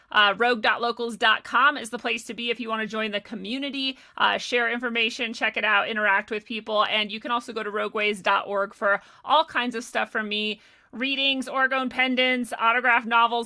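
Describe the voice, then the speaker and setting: Female livestream host, forceful bright tone, fairly high pitch, informal interview style.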